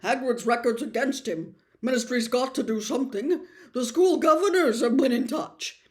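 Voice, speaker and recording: An anxious storybook minister, acted out by a woman. He is fussy and self-justifying, pushing his case in loud, clipped, insistent phrases. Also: a little high, animated, moderate pace, pitched above the performer's own voice, lightly acted. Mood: uncomfortable.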